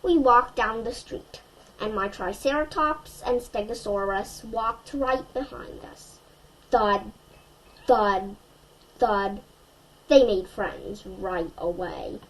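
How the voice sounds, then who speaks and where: A woman reading a children's story aloud expressively and deliberately, as if to young listeners. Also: medium pitch, fairly bright tone, rough recording.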